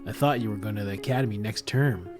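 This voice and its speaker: A man's voice: on the low side, fast talker, music in the background, natural voice. A man voicing an earnest, conspiratorial young rebel confiding in his friend, in an amateur table read of a film script.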